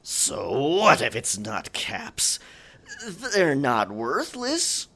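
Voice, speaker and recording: A man plays a sentimental pirate captain in an audio drama, his voice gravelly and full of creak. He talks slowly and haltingly in old-fashioned pirate speech, dramatic and earnest, with pitch that swings widely. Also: huge pitch swings, bright, forceful tone. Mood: flustered, pleading. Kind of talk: scripted roleplay audio drama, pirate ASMR.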